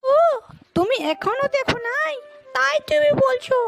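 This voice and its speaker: Woman as a very shrill cartoon animal mother. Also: unhurried, vocal fry, fairly bright tone, faint music behind, heavily acted. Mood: nagging.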